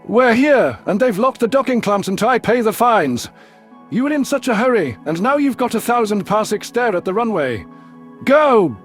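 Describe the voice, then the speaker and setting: A man's voice: high voice, very animated, normal speed, faint music behind, voice pushed up. A man voicing an impatient, scornful alien pilot in a sci-fi audio drama, barking and snappish. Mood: irritated, barking.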